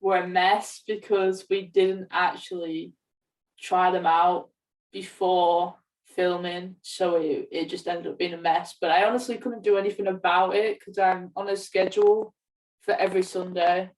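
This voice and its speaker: A woman's voice: fairly low pitch, steady tone, a little slow, a little mellow. A young woman talking casually as a co-host on a podcast. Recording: roomy, distant from the mic.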